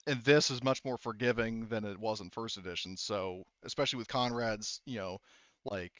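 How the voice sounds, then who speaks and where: A man's voice: fairly low pitch, moderate pace, fairly bright tone, dry recording, highs cut off. A man running a tabletop game as game master, narrating casually and explaining rules, often hedging and correcting himself.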